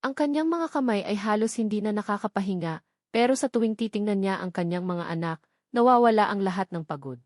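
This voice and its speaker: A woman's voice: medium pitch, brisk, darkish tone, dry recording, studio quality. A woman reading a scripted romance drama as a story narrator.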